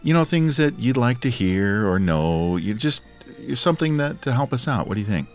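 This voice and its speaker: A man's voice: medium pitch, expressive, normal speed, soft background music. A man co-hosting a podcast in casual chat, playful and joking, his voice scratchy and dry from allergies. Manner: playful, joking, laughs often. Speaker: podcast co-host.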